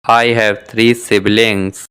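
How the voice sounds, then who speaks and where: A man's voice: fairly low pitch, flat delivery, a bit bright, clean tone. A man reading short beginner dialogues for an English lesson, saying each exchange twice with careful articulation. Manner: measured, carefully articulated delivery. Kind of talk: scripted beginner dialogue for shadowing practice.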